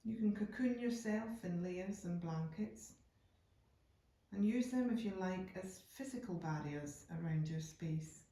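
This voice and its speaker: A woman guiding a yoga nidra meditation in a calm, unhurried, soothing way, leaving deliberate pauses between phrases. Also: fairly low pitch. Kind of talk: slow guided meditation narration. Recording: echoey room, distant from microphone.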